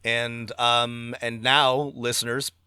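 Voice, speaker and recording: A man's voice: mid-range voice, little pitch movement, slow, pushed and bright, clear, resonant tone, no echo, studio quality. A man co-hosting a podcast in casual review chat, speaking emphatically and repeating words for stress. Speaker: podcast co-host.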